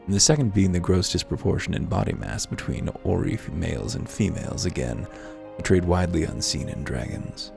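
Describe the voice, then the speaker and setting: A man speaks in a very deep, gravelly voice with music playing behind him. He hosts a video game playthrough in an articulate, musing way, with a wry edge to his commentary. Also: brisk. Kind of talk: casual game commentary with read-aloud lore.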